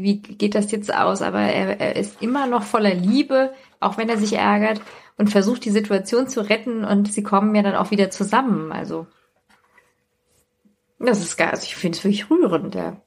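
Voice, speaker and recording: A woman talking casually as a podcast co-host, retelling stories in a conversational way. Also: mid-range voice.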